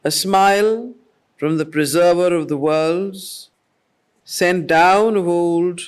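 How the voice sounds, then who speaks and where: A mature woman reads poetry and explains it to a study group in a deliberate, measured way. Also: fairly low pitch, clear, resonant tone. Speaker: study circle facilitator, teacher. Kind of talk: slow poetry reading with explanatory teaching.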